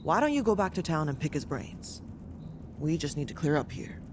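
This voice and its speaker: A man playing a brisk, candid foreign-born carpenter who leads a travelling crew in a video game cutscene. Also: on the high side, very animated, background noise. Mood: friendly, businesslike. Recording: game music behind the voice.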